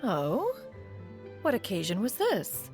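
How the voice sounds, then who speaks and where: Proud archaic female immortal, mid-pitched, animated, game VO. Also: slow, exaggerated voice. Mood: stately. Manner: lofty, archaic speech, refers to herself as one. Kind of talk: video game dialogue. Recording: game audio with faint music.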